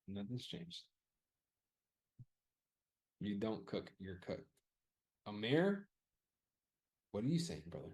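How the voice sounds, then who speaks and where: Low, mellow-voiced man thinking aloud. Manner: thinks aloud, loose and profane, repeats himself. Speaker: instructor polling his audience about class times. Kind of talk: casual live chat.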